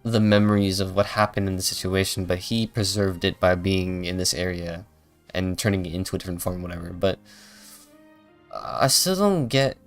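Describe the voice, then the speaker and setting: A man streams a game in a fairly low voice. He comments casually and muses out loud, working through his thoughts. Faint game music sits behind him. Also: animated, moderate pace. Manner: thinking aloud, musing.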